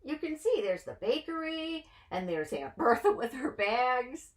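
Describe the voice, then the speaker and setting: A woman's voice: high voice, very animated, measured pace. A woman reading a picture book to children expressively, putting questions to her young listeners. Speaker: children's story reader.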